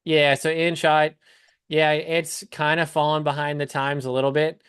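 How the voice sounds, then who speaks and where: A man's voice: a little high, flat delivery, moderate pace, a bit bright, smooth, no room sound, clean studio sound. A man talking casually as a coach on a live call, explaining things step by step with examples. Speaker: business coach hosting a client call.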